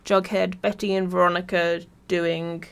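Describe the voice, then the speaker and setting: A woman's voice: lowish voice, level tone, measured pace, vocal fry. A woman reading a scripted video essay in an informal way, with wry, dry asides. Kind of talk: scripted video essay narration with casual humour.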